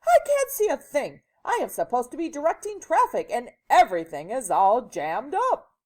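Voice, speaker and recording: A woman voicing a flustered, indignant male policeman, loud and exasperated, in a children's storybook. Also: sits high, wildly swooping pitch, moderate pace, pitched above the performer's own voice, exaggerated voice. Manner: screaming, exasperated. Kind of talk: children's storybook read-aloud.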